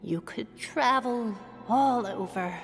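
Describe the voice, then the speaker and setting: A woman's voice: on the high side, slow pace. A woman playing a doting, fussing old grandmother in a video game, with music behind her. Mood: doting, folksy. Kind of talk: scripted video game acting. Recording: long reverb with game music.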